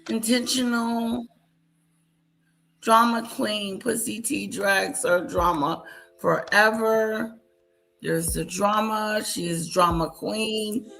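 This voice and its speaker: A woman's voice: medium pitch, steady tone, takes it slowly, gravelly, a bit bright, slight background noise, poor audio. A woman hosting a reaction commentary, scolding and incredulous as she picks apart a clip. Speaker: commentary channel host.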